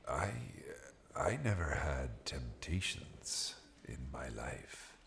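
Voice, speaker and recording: An actor playing a weary, defiant accused farmer in an audio drama, halting and gravelly, often dropping to a breathy whisper. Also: male voice, a bass voice, lively intonation, a bit bright, slight background noise, exaggerated voice. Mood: weary denial.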